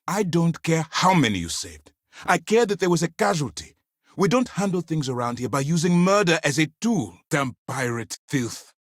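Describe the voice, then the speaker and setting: An actor playing a stern, principled space navy commander in a video game, speaking in a formal, deliberate, authoritative way. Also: male voice, on the low side, pushed and bright, no echo, studio quality, heavily acted. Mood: angry, stern. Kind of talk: scripted dramatic game dialogue.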